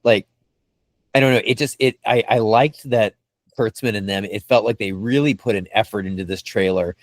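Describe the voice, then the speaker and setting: Lower-voiced man, enthusiastic podcast co-host. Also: moderate pace, no room sound, studio quality. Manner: enthusiastic, rambling, repeats words as he goes. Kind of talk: casual fan discussion.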